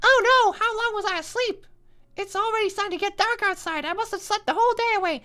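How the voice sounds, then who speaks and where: Super high bright anime schoolgirl, all flustered n dramatic, visual novel game. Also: fast talker. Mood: alarmed. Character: squeaky anxious teenage anime schoolgirl, easily flustered.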